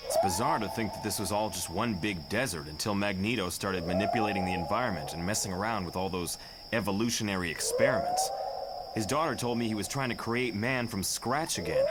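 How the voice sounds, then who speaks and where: A man's voice: on the low side, heavy background noise. A man playing an earnest young mutant team leader in a motion comic, chatty and musing. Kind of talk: motion comic character acting.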